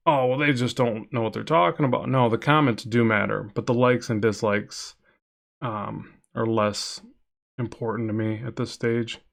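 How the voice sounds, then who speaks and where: Nasal guy chatting away on a drawing livestream, bit of fry in his voice, talks to chat a lot. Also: mid-range voice. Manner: rambling, chatty, reads chat aloud. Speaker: comic artist streaming a drawing session. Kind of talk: casual live-stream chat while drawing.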